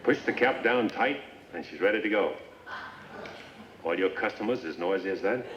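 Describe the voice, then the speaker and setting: A man's voice: low-pitched, lively intonation, normal speed. An actor playing a businesslike traveling gun salesman in a radio western, with plain-spoken, assured sales patter. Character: businesslike traveling frontier gun salesman, proud of his wares. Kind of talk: old-time radio western acting. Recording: muffled, echoey old radio recording, faint noise.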